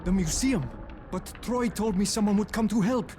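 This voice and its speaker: A man's voice: high-pitched, moderate pace, mellow, a little hiss or hum, close to an everyday voice. A man playing a nervous, anxious museum curator in a video game. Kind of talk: video game cutscene acting.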